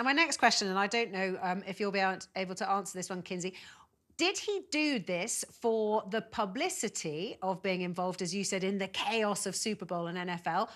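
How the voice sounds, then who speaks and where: A woman's voice: medium pitch, a bit bright. British woman hosting a news interview. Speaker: news show host. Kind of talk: live TV interview.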